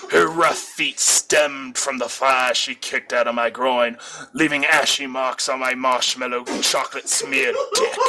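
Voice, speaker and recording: A man's voice: mid-range voice, bright, forceful tone, rough recording. A man reading fan fiction aloud as an amateur, now and then stumbling over words and correcting himself. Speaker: amateur story reader.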